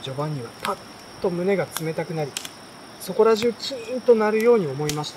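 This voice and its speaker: A man reading a children's story aloud in a relaxed, unhurried way, pausing between short phrases. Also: high voice. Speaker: reader. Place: outdoors at night by a campfire at a campsite. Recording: clear insect and outdoor night noise. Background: insects, stream, campfire.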